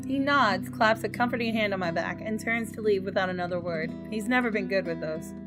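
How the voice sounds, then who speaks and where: A woman hosting a gaming video, reading aloud and commenting in a casual, expressive way. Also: medium pitch, fairly quick. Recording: voice over guitar game music.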